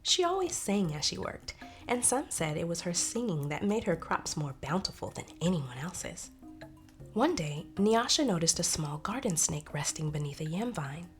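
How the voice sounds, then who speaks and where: A woman narrating a children's storybook in an expressive, careful way. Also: fairly low pitch, moderate pace, soft background music.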